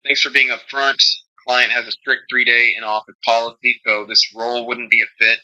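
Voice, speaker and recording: A man's voice: mid-range voice, little pitch movement, normal speed, bright, forceful tone, rough recording. A man's voice as a recruiter, conducting a scripted screening call in a polite, formal manner over band-limited phone audio.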